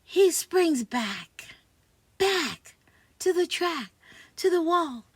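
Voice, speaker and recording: Lady reading a kids comic out loud, slow pace, husky voice, very dry close sound. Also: very high voice, big pitch swings, no room sound. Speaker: storyteller reading a comic book aloud. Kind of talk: expressive read-aloud for children.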